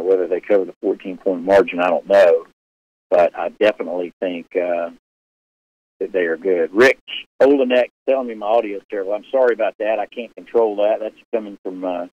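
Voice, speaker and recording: A man hosting a casual live sports chat, talking loudly and rambling with many fillers and restarts. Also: sits low, slightly rough, no echo. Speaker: sports show host answering viewer questions. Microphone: live stream setup. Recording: dull lo-fi livestream audio.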